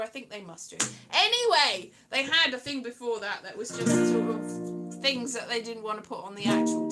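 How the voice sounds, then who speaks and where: A woman rambles through a casual livestream from home, her high voice bouncing up and down. She drifts into sing-song now and then while guitar music plays behind her. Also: bright, forceful tone. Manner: rambling, chatty, breaks into sing-song and giggles. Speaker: livestream host. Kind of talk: casual solo livestream chat.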